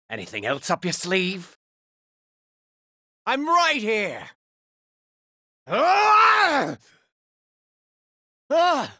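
Casting: a ruthless, taunting gangster in a fighting game, gravelly and hard-edged, wide swings in pitch. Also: male voice, bright, forceful tone, dry recording, studio quality, exaggerated voice. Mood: aggressive, straining. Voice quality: raspy, gravelly. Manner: curt, taunting. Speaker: video game voice actor. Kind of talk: video game combat barks.

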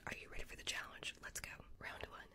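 A woman's voice: fast, sharp tone. A woman whispering breathily in an ASMR style, close to a desktop microphone. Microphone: close desktop condenser microphone.

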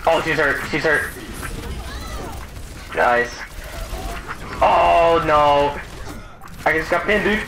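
A man's voice: fairly high pitch, animated, drawn-out words, creaky, long pauses, noisy background. A man hosting a gaming video, chatting casually and calling people dude and bro. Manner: slangy, says dude and bro constantly.

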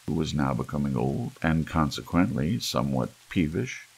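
A low-voiced man reads a gothic horror tale as an ASMR audiobook. His voice is husky and full of gravelly fry. He moves through the text in a measured way, leaving deliberate pauses between phrases. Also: very animated, darkish tone. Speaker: audiobook narrator.

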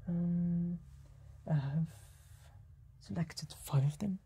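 A young man whispering gently and politely in an ASMR roleplay as a tailor, in a slow, measured, breathy voice close to the mic. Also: sits high, fairly even intonation. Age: young adult. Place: small indoor tailor workshop set.

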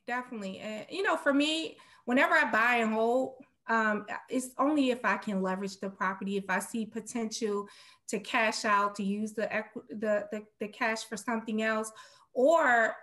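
High-voiced middle-aged woman, casual guest. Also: a bit bright. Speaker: guest real estate investor. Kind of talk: casual business interview. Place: room at home, indoors, on a video call.

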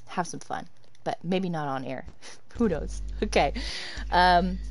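A woman streaming a game and chatting casually with her viewers, laughing often as she answers them. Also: medium pitch. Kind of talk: casual live-stream chat while gaming.

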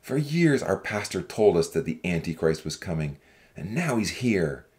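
A man plays a fervent young religious heckler from an audiobook story. His low voice moves widely in pitch as he declaims accusations with deep suspicion. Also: sing-song, moderate pace, darkish tone. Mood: accusing, alarmed. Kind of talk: dramatized audiobook dialogue.

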